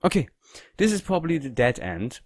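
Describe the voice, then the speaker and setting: A man giving casual game commentary in halting, non-native English phrasing. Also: medium pitch, big pitch swings, darkish tone, dry recording. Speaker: gaming video host.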